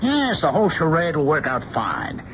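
An actor playing a worried, protective middle-aged father, earnest and halting as he repeats his words in a radio drama. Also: fairly high pitch, very animated, fairly bright tone. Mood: uneasy resolve. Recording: muffled radio recording with noise.

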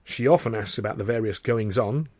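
A man's voice: fairly low pitch, brisk, a little mellow, dry recording, close to an everyday voice. A man voicing an earnest young male comedian who is nervous and eager, protesting in a flustered way.

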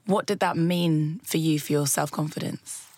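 Woman podcast host, lower voice, keeps saying mm-hmm and right, super dry studio mic. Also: moderate pace, dry recording. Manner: animated, affirming, frequent interjections. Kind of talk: conversational interview.

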